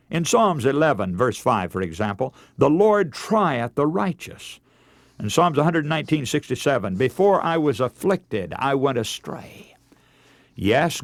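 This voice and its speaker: A man preaching a radio sermon in earnest, measured oratory, moving between scripture and exhortation. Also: medium pitch, no room sound, clean studio sound.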